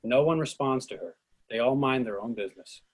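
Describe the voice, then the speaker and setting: A man reading stage directions in an even, measured way for a script reading. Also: medium pitch, slightly rough, poor audio.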